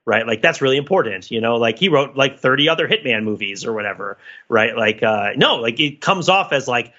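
A man's voice: medium pitch, expressive, brisk, pushed and bright, lo-fi sound. A man co-hosting a podcast, casually analysing film in a discursive way, peppering his points with filler phrases like "you know". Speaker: podcast co-host.

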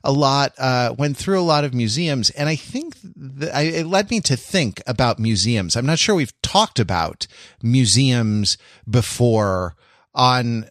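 A man's voice: mid-range voice, animated, fairly bright tone, dry recording. Dry-sounding, rambling man chatting. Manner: rambling, halting with repeated phrases. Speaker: podcast host. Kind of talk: casual chat.

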